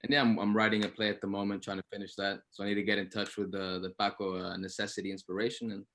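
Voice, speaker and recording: A man's voice: on the low side, level tone, fast talker, a bit bright. A man chatting casually as a panel guest, with informal, loose phrasing and repeated words. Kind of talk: casual unscripted panel talk.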